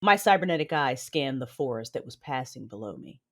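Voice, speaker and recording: A woman's voice: rumbling low, lively intonation, a little fast, a bit bright. A woman reading dramatic first-person scripted narration as the narrator of an audio drama.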